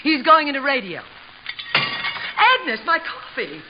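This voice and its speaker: Long-suffering genteel wife, high animated voice, theatrical radio comedy delivery, dull hissy old recording. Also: female voice, takes it slowly, exaggerated voice. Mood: earnest. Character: hopeful, long-suffering wife of a vain actor. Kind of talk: old-time radio situation comedy acting.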